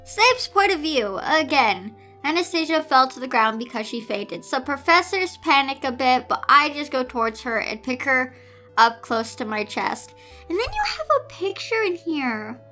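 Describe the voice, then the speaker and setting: A woman's voice: high-pitched, very animated, normal speed, sharp tone, faint music behind. A woman reading fan fiction aloud in a theatrical way, breaking off into incredulous, sarcastic commentary. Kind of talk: dramatic read-aloud with exasperated commentary.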